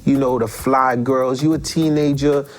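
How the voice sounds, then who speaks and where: A middle-aged man, telling stories in an interview in slangy, animated talk full of rhetorical questions. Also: mid-range voice, a little slow, faint music behind. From Haiti. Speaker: musician guest. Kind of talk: relaxed storytelling interview.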